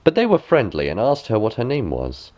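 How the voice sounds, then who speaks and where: A man reading a bedtime fairy tale aloud as an expressive storybook narrator. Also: medium pitch, fairly quick, lo-fi sound.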